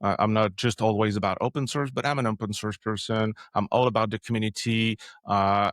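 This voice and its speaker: A man's voice: lowish voice, fairly even intonation, fairly quick, fairly bright tone, no room sound, studio quality. French-Canadian man telling a story. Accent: Quebec French. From Quebec, Canada. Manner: storytelling, many fillers and restarts. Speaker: podcast guest, developer advocate. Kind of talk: casual interview answer.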